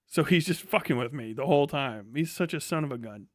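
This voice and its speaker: A man's voice: medium pitch, expressive, brisk, no room sound, clean studio sound. A man live streaming a casual, opinionated monologue in a profane, slangy, rambling and emphatic way.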